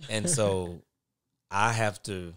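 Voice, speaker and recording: A man's voice: on the low side, fairly even intonation, slow, clear, resonant tone, no echo, clean studio sound. Big-voiced middle-aged man, earnest and candid. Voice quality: big, naturally forceful voice. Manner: earnest and candid, speeds up and swells in volume when making a point. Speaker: guest, father of boys. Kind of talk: casual podcast conversation.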